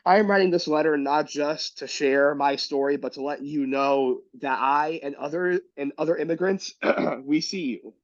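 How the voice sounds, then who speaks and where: A sick man with a raspy voice, reading a letter aloud and talking in a casual group discussion with many restarts. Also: on the high side, normal speed, no echo, dull audio. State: sick, coughing.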